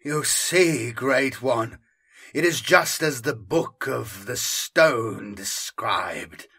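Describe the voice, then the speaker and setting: A frail old scholar of dark arts, acted out by a male narrator, speaks in a harsh, quavering rasp. He is sly and avaricious, drawing out his words slowly in a grim science fiction audiobook. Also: mid-range voice, exaggerated voice. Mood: sly, pleased.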